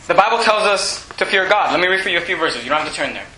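A man in his twenties preaching an impassioned sermon with scripture readings, speaking in an emphatic, repetitive way that often pushes toward shouting. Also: high-pitched, lively intonation, racing. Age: 20s. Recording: echoey room with faint noise.

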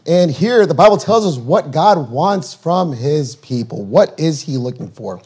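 A man preaching a sermon while losing his voice, reading scripture slowly and deliberately, then explaining it in plain words. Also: fairly high pitch, lively intonation, darkish tone. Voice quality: husky. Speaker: guest preacher.

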